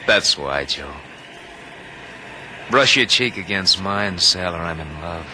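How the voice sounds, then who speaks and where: Smug, cocky young gunman, lowish voice. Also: lively intonation, slow. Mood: coldly menacing. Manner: smug, insinuating, musing. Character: cocky young gunman, greedy, dreamy and menacing. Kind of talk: 1950s radio drama acting. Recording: noisy old radio recording.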